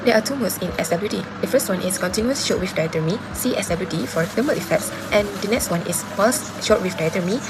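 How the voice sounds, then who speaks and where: Young woman student reading out her presentation really fast, noisy background. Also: mid-range voice, animated. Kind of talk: scripted class presentation.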